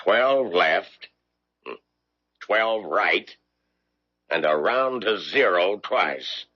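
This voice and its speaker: An actor playing a gruff, impatient, harried boss with a brusque, blustering manner in 1970s radio drama. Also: male voice, deep voice, animated, drawn-out words, full character voice. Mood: grumbling. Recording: dull 1970s radio recording.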